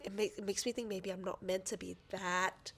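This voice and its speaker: A woman in her thirties chatting on a podcast in a mid-pitched voice. She sounds a little sniffly from a cold and talks in looping, self-interrupting sentences. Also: flat delivery, breathy edge. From Australia. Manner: rambling, self-interrupting, quick to laugh. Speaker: podcast co-host. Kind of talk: casual chat.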